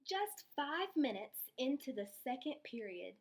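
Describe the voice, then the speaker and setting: Slow-paced woman teacher reading aloud. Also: high voice, big pitch swings. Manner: clear step-by-step explaining. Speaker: elementary school teacher. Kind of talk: children's read-aloud with lesson.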